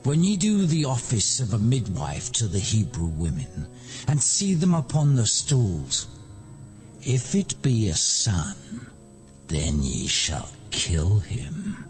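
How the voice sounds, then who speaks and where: A man's voice: on the low side, wildly swooping pitch, takes it slowly, a little mellow, soft background music, full character voice. An actor playing a commanding, scheming king of Egypt in a dramatized Bible, with declamatory archaic diction. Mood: stern command.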